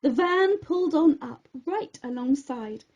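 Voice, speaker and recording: High-voiced, animated woman reading a bedtime story. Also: unhurried, dull audio. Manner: expressive, theatrical. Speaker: storybook reader.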